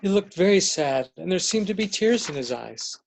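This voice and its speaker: A storyteller narrates a fairy tale from a script. The voice holds a medium pitch throughout the reading. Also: lively intonation, moderate pace, creaky, poor audio.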